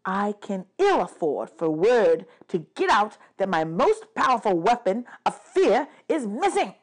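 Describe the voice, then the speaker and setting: A woman's voice: medium pitch, big pitch swings. Lady doing a pissed off underworld god guy from a kids book, bossy, ranting, leans hard on every word. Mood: bitter threatening. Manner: imperious, ranting, emphatic drawn-out words. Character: angry, aggrieved male god of the underworld.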